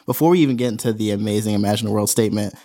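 Podcast co-host, quick pace, mid pitch, casual interview chat. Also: warm tone.

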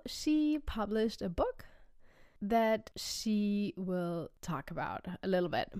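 A woman's voice: medium pitch, a little mellow, clean tone, no room sound, clean studio sound. A woman hosting a podcast, friendly and chatty, asking questions with little laughs and backchannels. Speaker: podcast host. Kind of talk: casual interview conversation.

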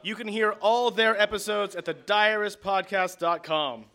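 A man's voice: sits high, fairly even intonation, normal speed, sharp tone. A man hosting a live radio theater show from the stage, announcing and thanking the audience. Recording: live theater recording, faint background noise.

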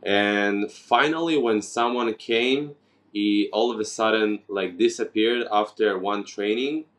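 A man with a lowish voice, speaking slowly and haltingly, with many pauses and restarts. He is a guest in a relaxed interview and talks about his own life. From Ukraine, raised in Israel. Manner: hesitant, many fillers and restarts. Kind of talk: casual interview conversation.

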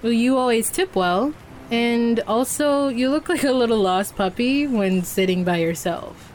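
A woman's voice: on the high side, a little slow, darkish tone, a little hiss or hum, close to an everyday voice. A woman playing a casual, forthright, teasing barista in a naturalistic fiction podcast. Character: frank, flirty, casual female barista.

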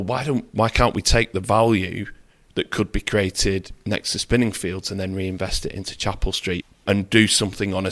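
A man being interviewed as a guest, speaking in a measured, candid way with self-deprecating asides. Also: lowish voice, fairly bright tone. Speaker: property developer, interview guest. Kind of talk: conversational interview.

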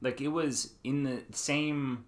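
A man's voice: mid-range voice, steady tone, slow. A man in a casual podcast chat, with a voice in the middle range. He talks loosely and hesitantly, full of filler words, and swears freely. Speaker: podcast co-host.

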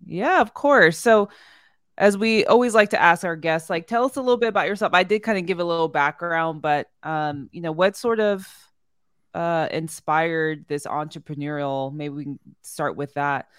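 A woman's voice: low-pitched, normal speed, smooth, no room sound, clean studio sound. A woman hosts a podcast, introducing a guest and asking questions in a friendly, upbeat, slightly rambling way. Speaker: podcast host. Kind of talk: casual podcast hosting.

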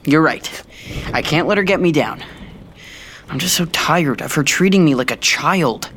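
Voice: mid-range voice, animated, moderate pace, a bit bright, a little hiss or hum, lightly acted. An actor playing an earnest, frustrated teenage prince in an audio drama, youthful and a little petulant. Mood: sulky, wistful.

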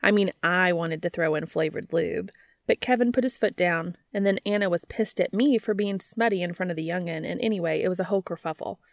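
A woman voicing an excitable, teasing young female bookstore worker in a story, gushing and playful. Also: lowish voice, brisk, no room sound, clean studio sound, lightly acted. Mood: flustered, playful.

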